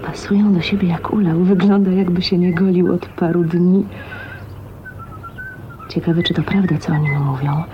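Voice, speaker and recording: An actress playing a shy, lonely, dreamy young spinster in a radio drama, speaking hesitantly in a hushed, intimate way. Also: female voice, fairly low pitch, steady tone, moderate pace, pauses often, lightly acted. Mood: dreamy musing. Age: young adult. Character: shy, lonely, dreamy 29-year-old spinster, wistful and wounded. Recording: dull, noisy 1980s radio drama recording.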